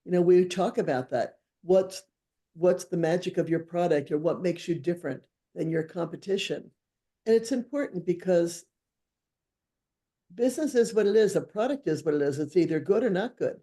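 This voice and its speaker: A man's voice: high-pitched, animated, normal speed, dark tone. A man co-hosting a conversation, speaking earnestly and supportively about a guest. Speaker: co-host, event booker. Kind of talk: casual conversation.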